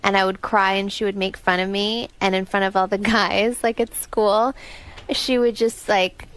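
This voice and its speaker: A woman's voice: mid-range voice, flat delivery, moderate pace, fairly bright tone, low-quality recording. A young adult woman being interviewed on the radio, chatty and a bit shy, often filling with "like". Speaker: interview guest. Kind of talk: candid celebrity interview chat.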